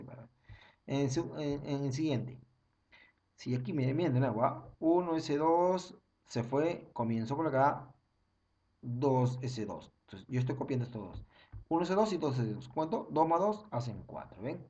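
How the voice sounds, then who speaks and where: A man's voice: mid-range voice, animated, a little slow, a little mellow, muffled recording. A young man, teaching an online chemistry class over slides and counting through each step again and again. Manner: repetitive, step-by-step counting, frequent "ojo" and "ya".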